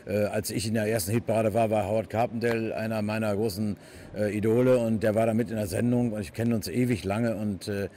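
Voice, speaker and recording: A man's voice: lowish voice, fairly even intonation, fairly quick, warm tone, slight background noise. A male singer giving a rambling video greeting with many fillers. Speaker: fellow singer. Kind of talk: video tribute message.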